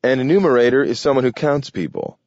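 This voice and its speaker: A man voicing an easygoing, friendly male census taker who talks in a relaxed way. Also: lowish voice, no room sound. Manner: relaxed, friendly, quick to grin. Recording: dull lo-fi recording.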